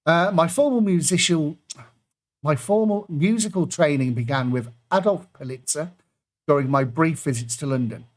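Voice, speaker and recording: A man's voice: a little high, moderate pace. A man hosting an online pub quiz, reading out clues and adding jokey asides between them.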